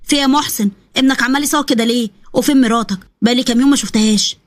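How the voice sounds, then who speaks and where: A woman's voice: on the high side, rapid-fire, no room sound, lightly acted. A woman voicing an older grandmother with an Egyptian Arabic accent, fretful and exasperated. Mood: worried.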